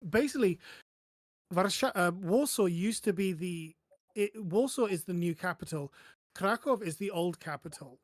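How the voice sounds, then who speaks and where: A man with a somewhat high-pitched voice gives casual explanations as a podcast panellist. He stops and restarts, correcting himself along the way. The sound is very dry, with no room to it. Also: lively intonation, no echo. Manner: halting, self-correcting.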